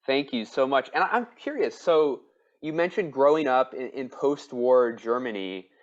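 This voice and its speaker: A man's voice: medium pitch, expressive, moderate pace, highs cut off. A man hosting a podcast interview who asks questions in a friendly, polite way with a few fillers. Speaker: podcast host.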